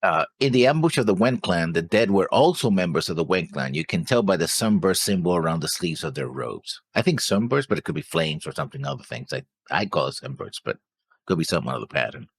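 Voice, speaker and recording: A man's voice: deep voice, expressive, a little fast, breathy edge. A man thinking aloud in a casual discussion, hesitant and full of theories. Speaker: anime reaction show co-host.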